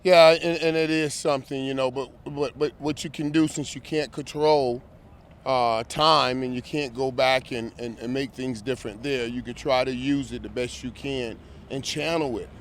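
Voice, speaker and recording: A man being interviewed, answering reflectively in a halting way and repeating words. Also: mid-range voice, fairly even intonation. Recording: faint background noise.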